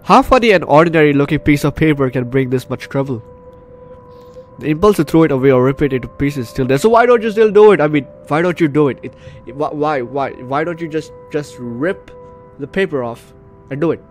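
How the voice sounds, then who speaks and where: A man's voice: on the high side, expressive, brisk. A man hosting a gaming video while getting over the flu, reading and reacting aloud in a dramatic way with repeated phrases. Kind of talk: let's play commentary, reading game text aloud. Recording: game music behind the voice.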